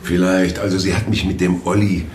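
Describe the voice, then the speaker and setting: A man's voice: deep voice, little pitch movement, a little fast, a little mellow, reverberant, slight background noise, close to an everyday voice. An actor playing a breathless, evasive man who hesitates as he speaks.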